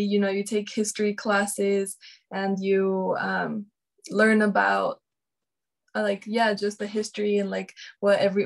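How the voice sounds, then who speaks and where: A woman's voice: mid-range voice, vocal fry. A woman artist from Mexico City, chatting casually on a video call and slipping between Spanish and English. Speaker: exhibiting artist.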